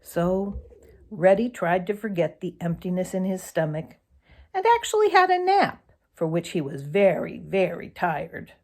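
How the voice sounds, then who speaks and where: A woman narrates a children's storybook aloud. She takes her time over each phrase and moves her pitch up and down in a lively, expressive way. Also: a little slow.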